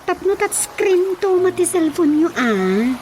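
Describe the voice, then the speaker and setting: A woman's voice: extremely high pitch, sing-song, measured pace, darkish tone, faint music behind, full character voice. A woman playing a dutiful young adult daughter in a radio drama, speaking with an Ilocano accent. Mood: resolved, helpful. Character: Rosa, dutiful, generous grown daughter.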